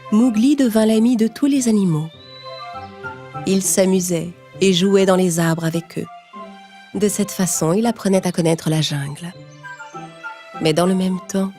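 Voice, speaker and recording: A woman narrating a scripted children's story in a measured storytelling manner. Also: lowish voice, warm tone.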